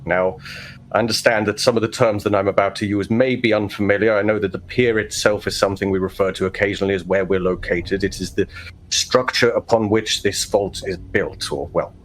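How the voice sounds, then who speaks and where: A man acts out a stern vault overseer for a tabletop game. His voice sits on the low side, and he gives orders with pompous, formal, measured authority. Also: fairly even intonation, a little hiss or hum, natural voice, low-quality recording. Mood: commanding, formal. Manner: formal, measured, stiff-upper-lip.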